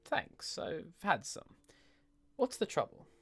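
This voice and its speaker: A man's voice: high-pitched, huge pitch swings, slow pace, husky, pitched above the performer's own voice, exaggerated voice. A man voicing a breezy, self-assured psychologist and family friend in a dramatic audio story.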